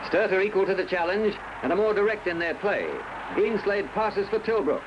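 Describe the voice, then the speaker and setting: A man with an Australian accent narrating a scripted 1970s sports newsreel in formal, measured phrasing. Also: sits high. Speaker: newsreel commentator. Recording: dull, noisy old newsreel soundtrack.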